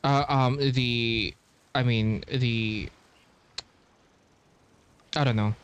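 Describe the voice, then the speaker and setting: A man's voice: mid-range voice, fairly even intonation, drawn-out words, clean tone, highs cut off. A man giving casual playthrough commentary, musing aloud in a hesitant way. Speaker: game streamer. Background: faint game music.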